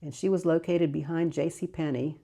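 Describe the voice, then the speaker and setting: An older woman, Greek her first language, looks back on the old days in a relaxed interview. Her voice sits low and a little gravelly, mellow in tone. She wanders from memory to memory, correcting herself as she goes. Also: brisk. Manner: rambling, fond recollection with soft laughs and self-corrections. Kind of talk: casual reminiscing interview.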